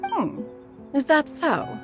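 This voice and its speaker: A proud immortal lady of a fantasy video game, speaking in formal, archaic phrases. Her voice is fairly high and haughty, with sweeping, expressive intonation, and music plays clearly behind it. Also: slow, exaggerated voice. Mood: gracious, formal. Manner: haughty, formal, archaic, refers to self as "one". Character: proud, dignified immortal bird adeptus in womanly human form.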